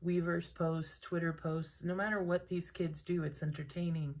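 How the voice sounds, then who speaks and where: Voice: mid-range voice, level tone, moderate pace. An adult fan listing what they enjoy, in an enthusiastic way. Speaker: fan in a video clip.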